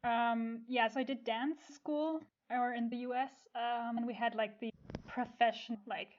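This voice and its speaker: A woman's voice: fairly high pitch, steady tone, measured pace. A young woman guest chatting casually in a self-deprecating, informal way. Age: young adult. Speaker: podcast guest, amateur theatre group member. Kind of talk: casual chat. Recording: dull lo-fi sound.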